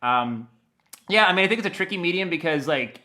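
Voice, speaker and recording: An American man giving a casual interview, rambling in an animated way as he thinks aloud. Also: high-pitched, a little fast. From United States. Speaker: interview guest, audio dramatist.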